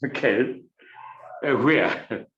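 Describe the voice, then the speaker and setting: A man speaking English as a non-native language on a video call panel, pausing often with fillers and restarts as he searches for words. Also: medium pitch, animated, a bit bright. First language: not English. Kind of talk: unscripted panel discussion. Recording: roomy, slightly dull video call audio.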